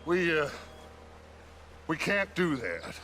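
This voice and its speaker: Slow, grandiose outlaw gang leader. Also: male voice, fairly high pitch, very animated, bright, forceful tone, a little hiss or hum, heavily acted. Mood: sly, placating. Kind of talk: video game cutscene acting.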